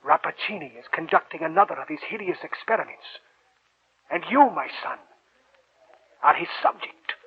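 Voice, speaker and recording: An actor playing a grave older professor who gives ominous, emphatic warnings in a 1940s radio drama. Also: male voice, fairly high pitch, expressive, moderate pace, long pauses. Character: grave, foreboding older male professor warning his student. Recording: muffled old radio recording, faint noise.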